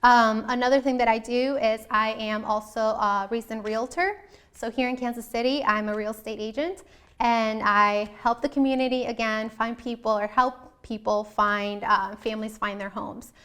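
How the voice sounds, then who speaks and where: Young woman show host, kinda high really clear voice, chatting to viewers, earnest, repeats herself a bit. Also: steady tone, normal speed, a bit bright. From US Midwest, born in Colombia. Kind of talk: informal talk to viewers about herself.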